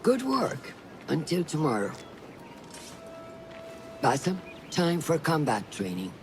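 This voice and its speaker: An actor playing a stern, seasoned assassin mentor in a video game, measured and exacting in delivery. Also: male voice, fairly high pitch, huge pitch swings, background noise, exaggerated voice. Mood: stern, challenging. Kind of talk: dramatic video game acting.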